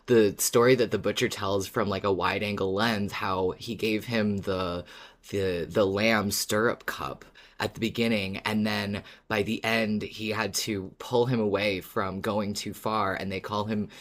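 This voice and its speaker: Podcast co-host, casual conversational read, thoughtful, halting phrasing. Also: deep voice, fairly even intonation.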